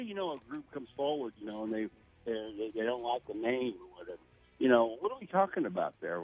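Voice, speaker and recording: Hesitant male caller with lively pitch. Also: phone-quality sound. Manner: hesitant, full of "you know". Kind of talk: call-in comment.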